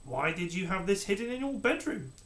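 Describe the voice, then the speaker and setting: A narrator voicing a scheming male royal adviser who speaks slowly and soothingly. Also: mid-range voice, big pitch swings, fairly bright tone, pitched above the performer's own voice. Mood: accusing. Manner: slow, measured, falsely soothing. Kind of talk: storybook character reading.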